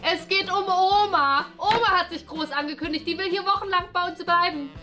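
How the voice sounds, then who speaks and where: A woman's voice: extremely high pitch, fairly quick. A young woman playing a stressed, exasperated mother in a family comedy skit, flustered and questioning. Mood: stressed, incredulous. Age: young adult.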